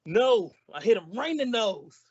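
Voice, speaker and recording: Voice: mid-range voice, big pitch swings, moderate pace, slightly rough, lo-fi sound. A performer playing a bumbling, easily confused male sidekick in an old-time radio comedy, full of wisecracking retorts.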